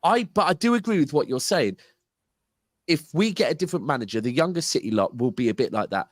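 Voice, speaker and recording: A man on a football chat show, animated and quick to cut in, often saying bro. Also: a little high, no room sound, clean studio sound. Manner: animated, interrupting, says bro. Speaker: football podcast co-host. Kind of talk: casual football debate.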